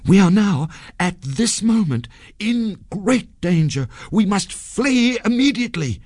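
A man voicing a quick-witted young man in a storybook, speaking in an earnest, dramatic, urgent way. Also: high voice, big pitch swings, slightly rough, no echo, clean studio sound, pitched above the performer's own voice, heavily acted. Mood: feigned panic. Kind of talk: storybook character voice.

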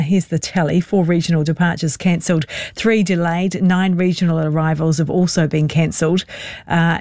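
A New Zealand radio host interviewing, reading listener feedback and giving traffic updates in a brisk, conversational way. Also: medium pitch, a little mellow, dry recording. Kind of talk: radio interview and news presenting.

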